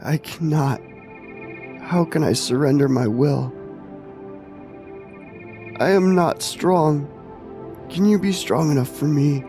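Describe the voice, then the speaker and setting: A man's voice: fairly high pitch, music in the background. A man playing a tormented, defiant soul in a fantasy radio drama, speaking in an anguished manner. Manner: slow, anguished. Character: tormented, defiant soul refusing to surrender his will.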